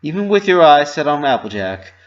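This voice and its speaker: A man voicing a composed, forgiving, refined young female cartoon pony in a measured, formal way on a dull recording. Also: on the high side, sing-song. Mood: reassuring.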